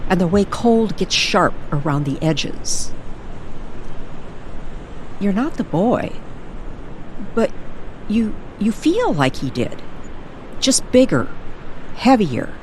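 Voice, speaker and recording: A woman voicing a patient, watchful older woman in an old-time radio style paranormal drama, speaking in halting, reflective phrases. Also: medium pitch, sing-song, darkish tone, slight background noise. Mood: wistful, knowing.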